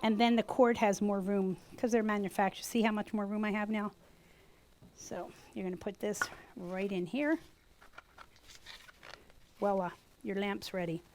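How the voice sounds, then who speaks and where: A woman with a US East Coast accent teaching a gourd craft class live to a small group, talking loudly and chattily. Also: medium pitch, a little slow, long pauses, a little hiss or hum. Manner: loud, chatty, animated. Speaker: gourd art instructor. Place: workshop room with a small audience.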